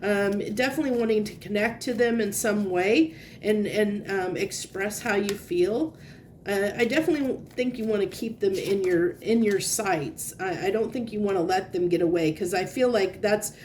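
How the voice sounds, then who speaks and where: A woman's voice: mid-range voice, slight background noise. Congested woman giving a rambling tarot reading. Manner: meandering, searching for words, gentle reassurance. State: congested, sniffly. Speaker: tarot reader. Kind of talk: casual tarot reading to camera.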